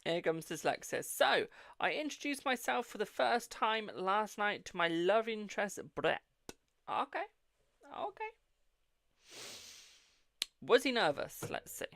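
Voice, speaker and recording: Chatty English tarot host, gravelly voice. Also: mid-range voice, big pitch swings, measured pace, pauses often, a bit bright, no room sound. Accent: English, posh-sounding. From England. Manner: chatty, swears freely, calls viewers "my darling". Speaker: tarot reader hosting a live stream. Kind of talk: live-stream card reading and casual chat.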